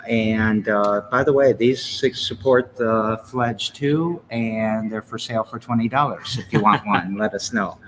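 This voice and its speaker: A man's voice: on the low side, measured pace, hardly any pauses, noisy background. A man in his fifties from Michigan, hosting a casual interview and rambling through his life story with chuckles and self-corrections. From Lansing, Michigan. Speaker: podcast host.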